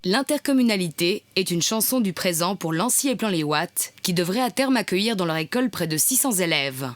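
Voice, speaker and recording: A woman's voice: fairly low pitch, animated, fairly quick. A woman reading scripted narration for a local news report.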